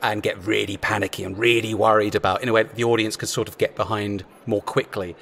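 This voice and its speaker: A man's voice: lowish voice, level tone, fast talker, creaky, fairly bright tone, a little hiss or hum. A man chatting enthusiastically and wordily in an interview as a drama writer. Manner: enthusiastic, wordy, acting out quotes. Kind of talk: casual interview chat.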